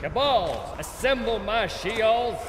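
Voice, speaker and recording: A man's voice: high-pitched, animated. A man playing a grandiose, pompous demon overlord bent on conquest in an audio drama. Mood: menacing, commanding. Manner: pompous, slow, theatrical. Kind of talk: audio drama villain acting. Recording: roomy echoing reverb, some noise.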